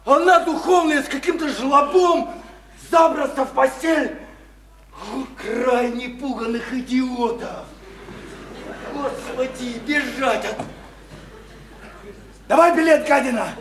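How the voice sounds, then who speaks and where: An actor playing a bitter, wounded would-be writer in a radio play, ranting scornfully with stammered repetitions. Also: male voice, very high-pitched, slow, long pauses, fairly bright tone, full character voice. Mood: wounded scorn. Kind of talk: theatrical radio play acting. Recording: roomy, faintly noisy 1991 radio play recording.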